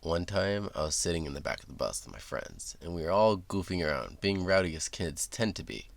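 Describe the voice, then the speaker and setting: A man's voice: low voice, very animated, brisk, gravelly, no echo, full character voice. A man voicing a nerdy talking duck sidekick who recites trivia matter-of-factly for a children's read-aloud show. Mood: sheepish storytelling. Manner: nerdy, matter-of-fact fact-dropping.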